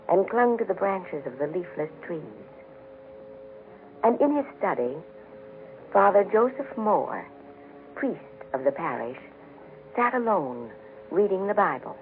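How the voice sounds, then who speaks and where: Guy telling a solemn story on 1940s radio, highish voice, tinny old recording w music behind. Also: very animated, a little slow, pauses often, slight background noise.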